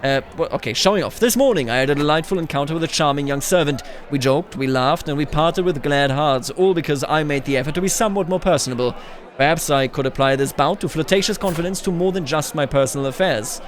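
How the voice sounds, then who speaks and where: Male game streamer, slightly high voice, casual and expressive, thinking aloud between narrated passages. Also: fast talker. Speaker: gaming video host. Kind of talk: casual game commentary mixed with reading in-game story text aloud.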